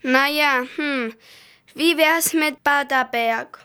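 A high, bright female voice of an amateur actor plays a strict mother and police chief in a homemade audio drama. She is stern and reproving, used to being obeyed. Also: slow, exaggerated voice. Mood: brisk.

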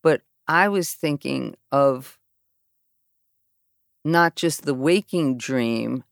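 Low, slow-spoken reflective woman. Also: gravelly, no echo, clean studio sound. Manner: slow, reflective, trailing off mid-thought. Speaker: podcast guest. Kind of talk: casual conversation.

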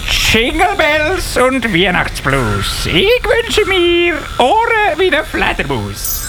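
A man playing an eager, bumbling little Christmas elf policeman in a children's radio play, with a Swiss German accent and halting, apologetic delivery. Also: very high-pitched, noisy background, full character voice. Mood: chanting a spell. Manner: halting, excitable, often interjecting excuses.

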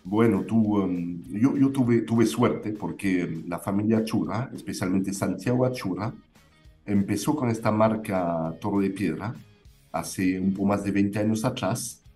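Low, mellow man with a foreign accent. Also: a little slow, soft background music. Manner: hesitant, many fillers and restarts. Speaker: winemaker guest. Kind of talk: interview answers.

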